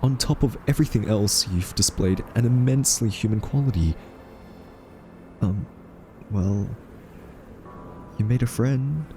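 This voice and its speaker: Soft-spoken, mellow, earnest scientist played by a man. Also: fairly low pitch, unhurried, long pauses, faint music behind, lightly acted. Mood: earnest, hesitant. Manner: hesitant, thoughtful, argumentative. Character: quirky, earnest scientist, captive friend of an evil AI. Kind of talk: soft-spoken roleplay audio drama monologue.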